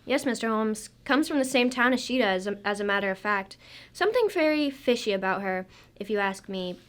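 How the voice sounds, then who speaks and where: Woman playing folksy police sergeant, fairly high voice. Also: animated, brisk, close to an everyday voice. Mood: suspicious. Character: plain-spoken, deferential country police sergeant, gossipy and suspicious. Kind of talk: radio drama acting.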